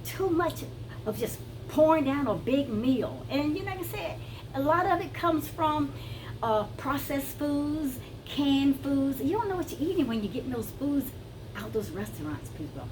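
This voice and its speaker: Brazilian lady vlogging, kinda high voice, repeats stuff for emphasis, noisy recording. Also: expressive, moderate pace. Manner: emphatic, repeats words, addresses viewers as "people". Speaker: wellness vlogger. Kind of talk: casual teaching monologue. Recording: noticeable background noise.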